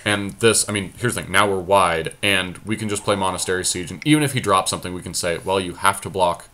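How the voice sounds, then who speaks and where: A man's voice: low-pitched, fast, pushed and bright. A man commenting casually on a game as he plays, thinking aloud in short, informal phrases. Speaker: podcast host.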